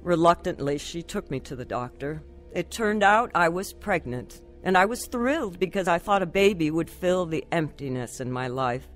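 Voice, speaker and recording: An actress plays a troubled young woman in a radio drama, confessional and anxious. Her voice sits fairly low and she speaks with raw earnestness. Faint music runs behind her. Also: animated, moderate pace, hardly any pauses, natural voice. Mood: somber confiding. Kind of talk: radio drama testimony narration and acting.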